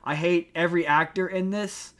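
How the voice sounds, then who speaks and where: Male podcast co-host, slightly high voice; rambling, emphatic, excitable pop-culture rants, stumbles over words, swears freely. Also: moderate pace. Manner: casual swearing. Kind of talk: casual pop-culture chat and ranting.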